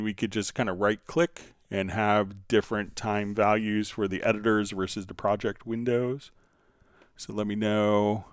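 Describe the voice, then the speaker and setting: A man hosting a live software tutorial, thinking aloud in a halting, hesitant manner as he demonstrates. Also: fairly low pitch, clear, resonant tone, dry recording, studio quality. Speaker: livestream host and software instructor.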